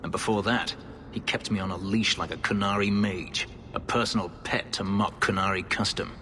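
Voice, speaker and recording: Proud elf warrior, fantasy game, deep, grave, formal, resolute, long reverb, faint background ambience. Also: male voice, fairly even intonation, moderate pace, sharp tone, a little hiss or hum. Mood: grim resolve. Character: brooding, proud elf warrior, escaped slave, grimly resolute. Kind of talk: video game character acting.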